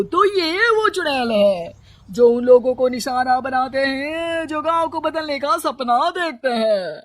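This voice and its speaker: A woman's voice: high-pitched, dry recording. A woman voicing a fake village holy man in a dramatic manner for a cartoon story. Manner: slow, dramatic, menacing.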